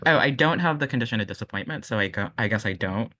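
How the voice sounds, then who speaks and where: A man's voice: lowish voice, lively intonation, quick pace, pushed and bright, no room sound, studio quality. A man chatting casually as a player in a tabletop role-playing game.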